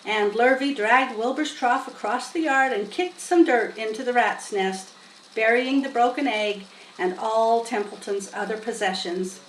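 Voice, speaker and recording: Woman reading a kids book aloud, expressive, echoey room, faint noise. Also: fairly high pitch, measured pace. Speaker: storybook reader. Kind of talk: expressive children's story narration. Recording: roomy, distant mic, faint noise.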